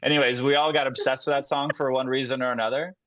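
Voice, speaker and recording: A young man, a guest actor on a podcast, telling stories in a relaxed, casual way with many fillers and restarts. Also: medium pitch, quick pace, no echo, muffled recording.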